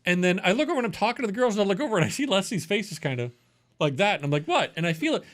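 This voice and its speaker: A man co-hosting a podcast, telling stories in loud, jokey casual chat full of swearing. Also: high voice, big pitch swings, rapid-fire. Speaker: podcast co-host.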